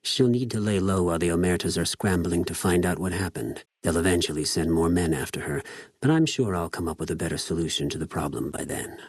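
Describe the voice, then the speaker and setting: An actor playing a cold, cunning spy of a Roman-styled slaver army in a video game, speaking in a measured, formal, menacing way with precise diction. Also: male voice, low voice, breathy edge, no echo, studio quality. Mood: cool, calculating. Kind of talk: scripted video game character dialogue.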